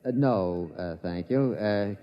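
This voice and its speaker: An actor playing a shy, polite astronomer who hesitates with frequent "uh"s, in 1950s radio drama style. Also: male voice, lowish voice, slow. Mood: hesitant. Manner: hesitant, full of "uh"s, overly polite. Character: timid, polite male astronomer new to Mars. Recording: dull old radio transcription.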